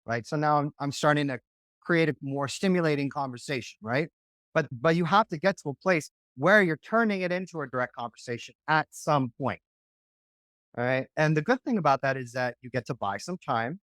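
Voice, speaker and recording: A man's voice: medium pitch, fairly bright tone, no echo. A man coaching on dating in a podcast interview, talking casually and often checking in with "right?".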